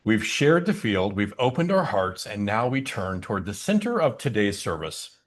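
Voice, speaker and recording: A man hosting a spiritual service, introducing the teaching in measured, deliberate phrases. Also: fairly low pitch, sing-song. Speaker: service host.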